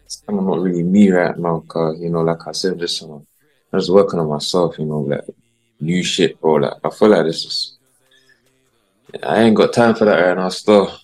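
A man from South London with a South London accent, a guest musician talking casually in a mellow, slang-filled way. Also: deep voice, fairly even intonation, moderate pace, low-quality recording. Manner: mellow, mumbly, full of street slang. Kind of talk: casual interview chat.

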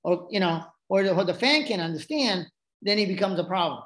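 A man's voice: sits high, animated, fairly quick, a bit bright. Man with a Japanese accent, roomy sound. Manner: rambling, frequent restarts and asides. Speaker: podcast guest. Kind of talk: casual storytelling.

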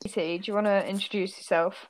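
A woman's voice: mid-range voice, steady tone, fast talker, no room sound, poor audio. A young woman hosting a casual podcast chat with friends over a call, giggly and tripping over her words. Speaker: podcast host.

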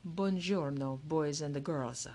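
Woman voicing an eccentric old man, playful showman, crisp rat-a-tat delivery, storybook character. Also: deep voice, mellow, pitched below the performer's own voice, exaggerated voice. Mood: timid, soft. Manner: starts timid and slow, then crisp and rapid-fire. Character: eccentric older male game maker, playful showman.

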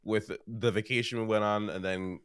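A young man co-hosting a podcast in casual chat, slightly hoarse as he starts to lose his voice. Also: fairly low pitch, moderate pace, no room sound, studio quality. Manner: relaxed, rambling, laughs often. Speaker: podcast co-host.

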